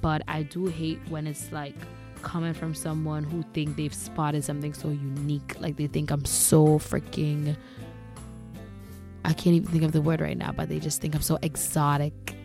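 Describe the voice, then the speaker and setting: A woman's voice: deep voice, level tone, moderate pace, music in the background. A young woman from Grenada with a Grenadian accent, hosting a casual monologue and talking candidly, a little exasperated. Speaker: podcast host.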